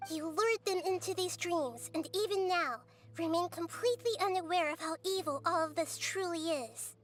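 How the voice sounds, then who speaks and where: Little game goddess, super high and gentle but stern, music faint under it. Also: female voice, moderate pace. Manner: calm, earnest lecturing. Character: small girl god, gentle, wise, calmly stern.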